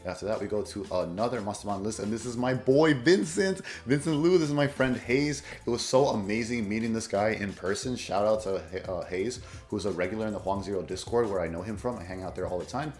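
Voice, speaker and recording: A hoarse man who has nearly lost his voice, rambling through casual commentary on card game tournament results as a content creator, with fillers and self-corrections. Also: fairly low pitch, fairly quick, hardly any pauses, darkish tone, echoey room, faint music behind.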